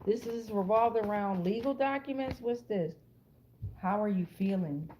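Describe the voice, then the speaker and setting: A woman's voice: mid-range voice, moderate pace, highs cut off, slight background noise. An American woman giving a casual, improvised tarot reading to an online audience, blunt and streetwise, swearing freely and repeating phrases for emphasis. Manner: profanity-laced, streetwise, blunt. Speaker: tarot card reader, channel host.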